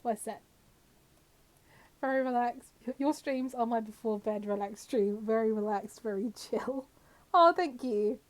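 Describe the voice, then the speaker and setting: A woman's voice: a little high, a little slow. A woman with a slightly scratchy voice from a cold, chatting casually as she live-streams a game to viewers and talks to its characters. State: has a cold.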